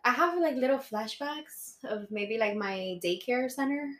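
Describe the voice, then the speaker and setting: A woman's voice: fairly high pitch, lively intonation, a little slow, roomy sound. A woman in her twenties, born in Colombia and raised in Miami, chatting easily as a podcast guest and laughing often. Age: 20s. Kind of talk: casual chat.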